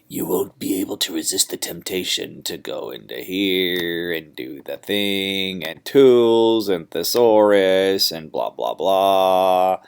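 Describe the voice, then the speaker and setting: A man teaching a writing lesson in an informal, slangy, theatrical and emphatic manner. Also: lowish voice. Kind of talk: classroom lesson on paraphrasing and essay writing.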